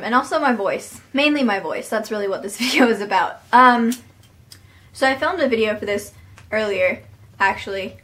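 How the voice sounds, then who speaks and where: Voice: medium pitch, fairly bright tone. A young adult vlogger talking straight to a webcam in a small bedroom, earnest and reflective, thinking aloud with plenty of fillers. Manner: earnest and reflective, many fillers, talks with hands. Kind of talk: casual talking-to-camera vlog. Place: small bedroom with posters, indoors.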